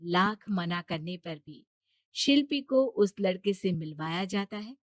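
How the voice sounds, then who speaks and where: A woman's voice: lowish voice, unhurried, no echo, studio quality. A woman reading scripted story narration as the narrator.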